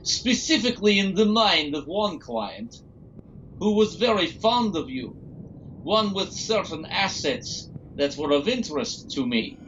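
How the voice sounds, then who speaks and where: A man's voice: high voice, lively intonation, a bit bright, slight background noise. A man playing a smug, scheming doctor and captor in an audio drama, speaking in a formal, condescending way. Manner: formal, condescending, deliberate storyteller. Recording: muffled audio, faint music behind.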